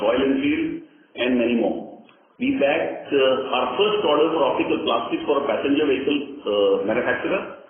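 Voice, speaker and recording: A man with an Indian accent gives a formal, measured company results presentation as an executive, pausing with hesitations, on a conference call. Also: mid-range voice. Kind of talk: prepared earnings call presentation. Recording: echoey, tinny conference phone line with noise.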